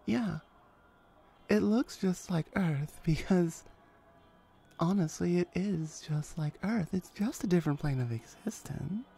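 Woman playing a husky, slow-talking flirty female hellhound. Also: fairly low pitch, mellow, exaggerated voice. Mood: wry, reassuring. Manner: conversational, hesitant pauses, wry. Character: flirty, laid-back female hellhound showing a newcomer around hell. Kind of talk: ASMR audio roleplay, addressing the listener.

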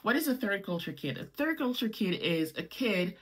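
A woman's voice: mid-range voice, moderate pace. A woman in her thirties from Morocco, with French as her first language, talking casually to camera at home as a vlogger and gesturing as she explains. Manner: animated, gestures with hands while explaining. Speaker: travel vlogger. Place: small home room indoors.

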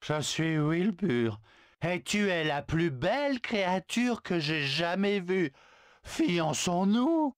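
A man's voice: high voice, sing-song, slow, clean tone, dry recording, studio quality, heavily acted. An actor voicing a boastful, lovestruck male suitor who stammers when flustered. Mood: smitten. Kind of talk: video game dubbing.

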